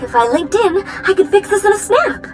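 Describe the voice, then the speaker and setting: A playful female computer companion for a video game. Her voice is high and sugary, and she phrases things in a breezy, flirtatious way. Music is clear in the background. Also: big pitch swings, normal speed. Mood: playful fussing.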